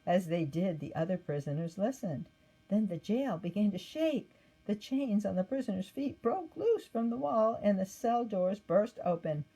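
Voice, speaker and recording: A woman reading a scripted children's Bible story aloud in an expressive manner. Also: mid-range voice, normal speed, husky, rough recording. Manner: expressive read-aloud with acted quotes. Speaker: storybook reader.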